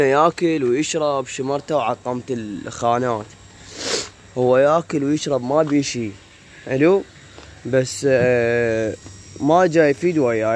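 A hoarse man with an Iraqi Arabic accent, chatting casually to viewers while filming his rooftop pigeon loft on a phone. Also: mid-range voice, a little mellow, slight background noise. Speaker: pigeon keeper vlogger. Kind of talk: casual vlog. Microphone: phone camera held while walking.

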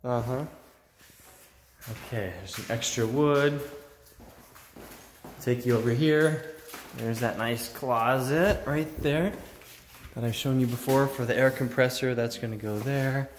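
A man's voice: mid-range voice, measured pace, pauses often. An American man around his forties giving a casual, enthusiastic video tour in an informal, admiring way, holding a phone at arm's length. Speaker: vlogger giving a workshop tour. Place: mostly inside an empty unfinished workshop building. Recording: echoey empty room, clear background noise.

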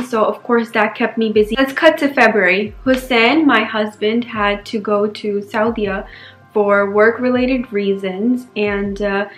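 A woman's voice: a little high, reverberant. A young woman from New York chatting candidly to camera in her bedroom, casual and full of fillers. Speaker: lifestyle vlogger. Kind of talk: casual vlog chat to camera. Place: bedroom indoors.

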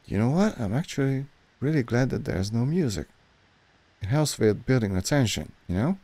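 A man in his thirties from Hungary with a Hungarian accent, chatting excitedly and correcting himself as he reacts to an old film at a studio mic. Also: medium pitch, moderate pace. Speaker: movie reaction host. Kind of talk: casual reaction commentary. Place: small dark home studio. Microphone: studio mic on boom arm, headphones.

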